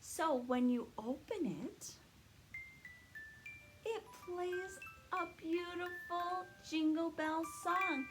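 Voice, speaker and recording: A woman hosting a story time for small children, talking to young viewers in an animated, sing-song way. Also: extremely high pitch, extremely slow, long pauses, music in the background, low-quality recording. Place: indoors in a library.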